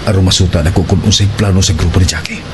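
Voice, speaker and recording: A man's voice: sits low, steady tone, rapid-fire, heavy background noise, close to an everyday voice. A man acting a wary man on covert watch in a Filipino radio action drama, with a Filipino accent. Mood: tense, watchful. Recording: loud music bed behind voice.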